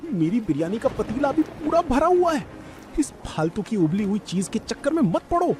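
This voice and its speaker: A man voices a boastful cartoon biryani hawker. He is mocking and smug, high in pitch and extremely animated, over soft music. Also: moderate pace. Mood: indignant. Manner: taunting, smug.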